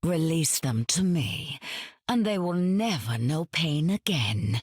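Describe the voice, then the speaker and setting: An actress playing an imperious goddess queen of the dead in a video game, measured and regal with a husky menace. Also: sits low, big pitch swings, dry recording, clean studio sound, exaggerated voice. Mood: regal, grave.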